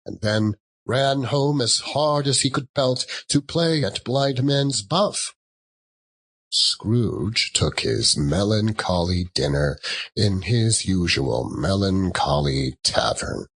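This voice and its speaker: A man narrating a classic story as an audiobook, theatrical, with dramatic and deliberate phrasing. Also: low-pitched, a bit bright, breathy edge.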